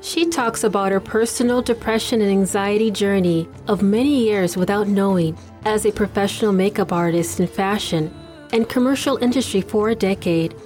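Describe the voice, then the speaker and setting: A woman's voice: medium pitch, darkish tone. A woman hosting a podcast, reading the guest introduction and asking questions in an upbeat, encouraging way. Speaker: podcast host. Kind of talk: podcast interview.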